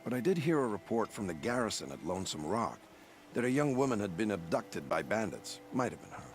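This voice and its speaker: Villainous officer for a game: slippery, condescending, on the low side, very expressive intonation. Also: male voice, a little fast, soft background music. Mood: smug, dismissive. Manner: smooth, condescending. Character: smug, slippery villainous military officer, falsely polite. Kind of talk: video game voice acting.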